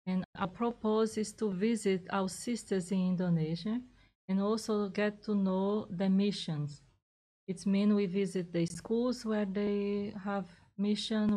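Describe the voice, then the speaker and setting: Slow, mellow-voiced nun from Brazil. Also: female voice, medium pitch, rough recording. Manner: careful, halting non-native English. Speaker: visiting nun, guest. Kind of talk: casual interview answers.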